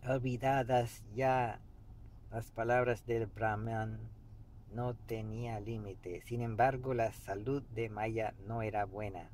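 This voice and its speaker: A man in his fifties reading a book aloud meditatively in a halting way, pausing mid-sentence, recorded inside a car. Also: fairly low pitch. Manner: halting, pauses mid-sentence, clears throat. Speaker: amateur reader of a book. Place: inside a parked car by a street. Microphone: camera an arm's length or so away. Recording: steady background noise inside a car.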